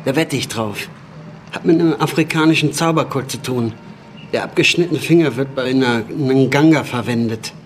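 An actor playing a knowing friend who explains cult lore with assurance in a radio drama. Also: male voice, medium pitch, normal speed, a little hiss or hum, close to an everyday voice. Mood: knowing, intrigued. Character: knowing male friend, expert on Cuban cults. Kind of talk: German radio drama acting.

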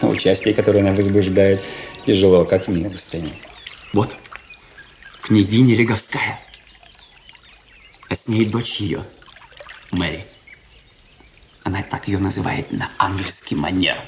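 A man's voice: sits low, a little slow, pauses often, a little mellow, slight background noise. An actor playing a vain, embittered young military cadet in a radio drama, pompous and affected in his declamation. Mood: gossipy, scornful. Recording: radio play with prominent music behind. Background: music.

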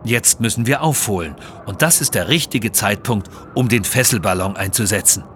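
A man's voice: lowish voice, expressive, moderate pace, a bit bright, slight background noise. A man voicing a determined, bold male adventurer who speaks decisively in an audio story.

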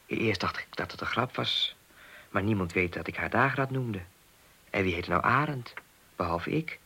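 A man's voice: on the low side, lively intonation, moderate pace, pushed and bright, breathy edge, full character voice. An actor playing an earnest, naive, lonely man in a naturalistic radio play, talking in a hesitant, rambling, plain-spoken way. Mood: puzzled, worried. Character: earnest, naive, lonely man worried about a missing woman.

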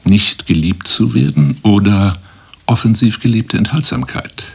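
A man playing an eccentric, pompous guru-like professor in a radio play, lecturing with drawn-out emphasis. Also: lowish voice, creaky, breathy edge, full character voice. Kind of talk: German radio play acting.

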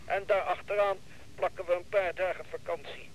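An actor playing an excitable, well-off businessman on the telephone in a Dutch radio play, fussy and given to exclaiming and repeating himself. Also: high voice, moderate pace, slight background noise, close to an everyday voice, low-quality recording. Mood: brisk, arranging.

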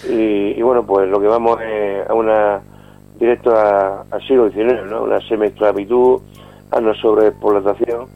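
A man in his fifties with an accent from Spain, giving an informal interview as an activist guest, halting and fond of tag questions. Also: lowish voice, flat delivery, gravelly, a little hiss or hum. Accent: Spanish from Spain. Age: 50s. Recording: dull band-limited call with music behind.

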